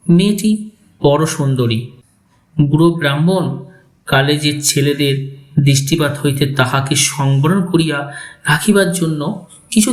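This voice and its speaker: An actor playing a bitter, vengeful older father who tells his tale with sardonic deliberation in a dramatic audio story. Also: on the high side. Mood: sly storytelling. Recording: echoey voice over background music.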